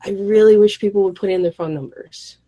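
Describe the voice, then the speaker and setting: A woman in casual conversation, sounding sick and slightly gravelly, telling stories animatedly and acting out exchanges. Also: mid-range voice, brisk, no room sound. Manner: animated storytelling, acts out exchanges. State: sick, voice deeper and more gravelly than usual. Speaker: podcast guest, small business owner who ships orders. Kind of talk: casual chat.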